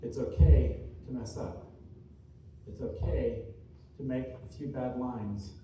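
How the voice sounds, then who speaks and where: A man giving an inspirational speech to a room, earnest and deliberate, speaking in short, emphatic, repeated phrases. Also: mid-range voice, steady tone, dark tone. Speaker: motivational speaker, author. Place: large indoor room at a seminar. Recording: distant mic, echoey room, faint noise.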